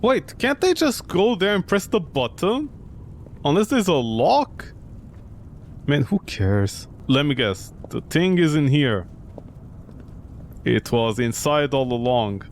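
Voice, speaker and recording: A man's voice: fairly high pitch, animated, slow, pauses often, a little hiss or hum. A man giving casual, joking, sarcastic commentary while he plays through a horror game. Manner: sarcastic, jokey.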